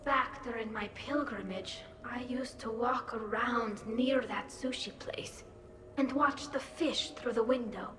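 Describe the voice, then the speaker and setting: Woman voicing a high, echoing young alien. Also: measured pace, background noise, natural voice. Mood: wistful, reproachful. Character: earnest, playful young female alien. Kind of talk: video game dialogue.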